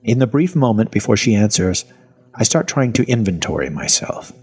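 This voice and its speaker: An actor playing a weary, sardonic captive who narrates his own horror story in wry, deadpan, clipped phrases with a husky voice. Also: fairly low pitch, normal speed, heavily acted. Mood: wry narration. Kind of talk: first-person audio fiction narration.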